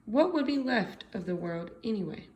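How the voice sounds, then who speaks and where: American lady teacher reading a kids book out loud, medium pitch, expressive, leans on the key words. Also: normal speed, dull audio, noisy background. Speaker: second grade teacher. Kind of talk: expressive storybook read-aloud for young children.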